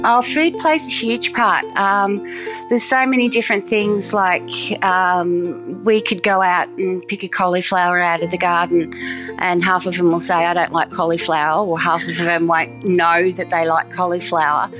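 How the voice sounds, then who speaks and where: Woman, casual interview talk, lots of ums and restarts, soft music underneath. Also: lowish voice, steady tone, moderate pace, rough recording. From Australia. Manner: chatty, many ums and restarts. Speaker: farm program coordinator.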